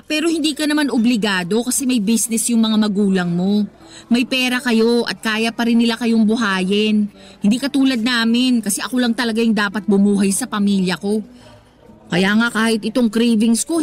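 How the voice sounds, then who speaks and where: A woman's voice: a little high, moderate pace, natural voice. An actress with a Filipino accent playing a dramatic, exasperated young breadwinner girlfriend who vents emphatically in a radio drama. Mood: bitter. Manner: dramatic, emphatic, slangy venting. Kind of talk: Tagalog radio drama acting.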